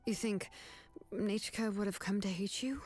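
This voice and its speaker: A woman voicing a calm, firm young woman who confronts a grieving aunt in earnest, measured speech. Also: medium pitch, soft background music, natural voice.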